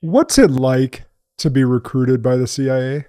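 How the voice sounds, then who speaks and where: A man's voice: mid-range voice, moderate pace, dark tone. A man hosting a podcast, asking loose, rambling questions and often correcting himself. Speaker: podcast host. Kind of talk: casual interview questions.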